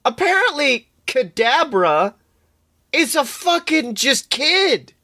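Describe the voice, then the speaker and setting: Bright, animated male podcast co-host. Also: very high-pitched, slow pace, no echo, studio quality. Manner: animated, exclamatory, sweary. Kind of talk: casual comedic banter and anecdotes.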